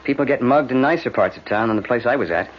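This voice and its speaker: A weary defense lawyer acted by a man in an old radio play, dry and wry. His voice sits at a middle pitch on a dull recording with faint noise. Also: fast talker, natural voice. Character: weary, sardonic male city defense lawyer. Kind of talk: vintage radio drama acting.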